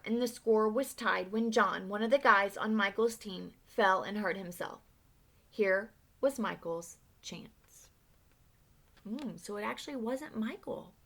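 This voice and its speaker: Bright, expressive woman reading aloud. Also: fairly high pitch. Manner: expressive, pauses to ask the listener questions. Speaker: read-aloud storyteller. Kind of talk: reading a children's picture book aloud.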